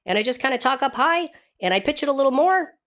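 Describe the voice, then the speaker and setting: Guy doing a cartoon daughter voice, young girl, really high up. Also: lively intonation, quick pace, sharp tone, heavily acted. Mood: light. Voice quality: high-pitched. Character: young daughter in an animated show.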